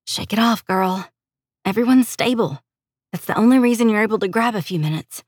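A young female nurse from an audiobook, played by a woman narrator, caring and steely under tiredness. Her pitch lifts above a low narrating register, and the sound is dry and close. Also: a little fast, darkish tone, husky, dry recording, natural voice. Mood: tired, upbeat.

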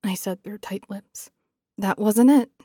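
A fierce military commander, played by a woman, in a fantasy audiobook. She is proud, wounded and defiant, biting off her words with emphasis. The tone is dark and mellow, recorded very dry. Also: mid-range voice, measured pace, husky, no echo. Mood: tight, curt. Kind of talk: dramatic fantasy audiobook acting.

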